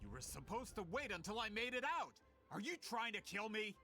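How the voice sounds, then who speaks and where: A man voicing an indignant, excitable cheated businessman in a video game, with a gravelly, strained voice. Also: high-pitched, a little fast. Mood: outraged panic. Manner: indignant, panicky.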